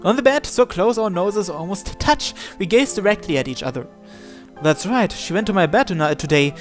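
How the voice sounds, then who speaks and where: Higher-pitched man narrating a story over music. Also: lively intonation, normal speed. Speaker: gamer reading a visual novel aloud. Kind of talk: dramatic first-person story narration.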